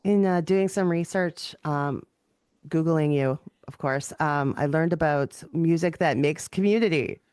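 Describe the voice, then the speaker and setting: A woman's voice: low voice, unhurried, a little mellow, clear, resonant tone, no echo, clean studio sound. A woman hosting a podcast, putting questions to a guest in a casual conversational style. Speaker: podcast host, interviewer.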